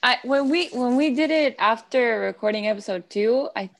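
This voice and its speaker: A woman in relaxed, casual fan chat with a co-host, unhurried and full of fillers. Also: fairly high pitch, creaky.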